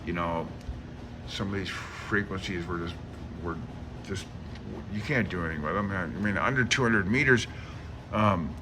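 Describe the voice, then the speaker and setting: A man's voice: sits low, moderate pace, long pauses, noisy background. A man giving casual, rambling commentary on a book as a hobbyist host, in a chatty, amused way that wanders off on tangents. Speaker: amateur radio hobbyist host.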